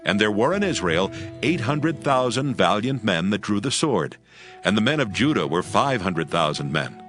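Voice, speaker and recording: A man narrating a dramatized scripture as scripted audio, with solemn, measured storytelling in archaic phrasing. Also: fairly low pitch, sing-song, fairly bright tone. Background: music.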